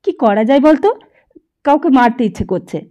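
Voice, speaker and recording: A woman's voice: sits high, animated, moderate pace, dark tone, highs cut off. A woman voicing a wildly overjoyed, impulsive boy in an audiobook, exclaiming eagerly. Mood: giddy, restless. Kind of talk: audiobook character dialogue.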